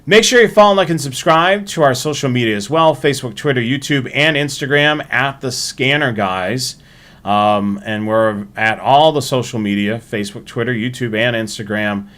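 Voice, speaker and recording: A man hosting a livestream, talking informally through announcements in a halting way and repeating himself. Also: medium pitch, a bit bright. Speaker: livestream host. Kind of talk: casual livestream sign-off and announcements.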